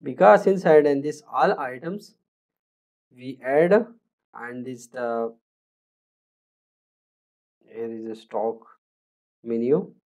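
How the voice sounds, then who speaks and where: A man, talking through a screen-recorded coding tutorial in a halting way, with many fillers and restarts as he thinks aloud. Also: mid-range voice, a little mellow. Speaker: programming tutor.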